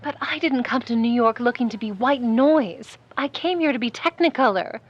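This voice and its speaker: Woman playing arch, ambitious old-Hollywood actress, higher voice. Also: moderate pace, muffled recording, natural voice. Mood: determined. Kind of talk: 1940s noir audio drama acting.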